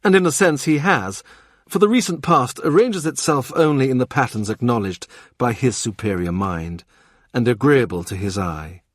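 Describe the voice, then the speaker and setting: A man narrating a historical novel in a measured, deliberate way. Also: medium pitch, animated, no echo, clean studio sound. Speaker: audiobook narrator. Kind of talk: scripted literary narration.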